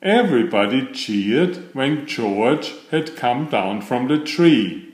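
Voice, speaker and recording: Slow, expressive male storybook reader. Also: medium pitch. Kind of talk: children's book read-aloud narration. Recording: roomy, echoing sound.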